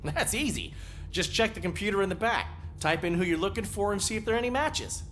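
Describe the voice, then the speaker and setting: An actor playing a good-natured desk police officer in a video game, chatty, wry and a little hesitant. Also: male voice, high voice, lively intonation, brisk, background noise. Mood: helpful. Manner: hesitant, wry, eager small talk.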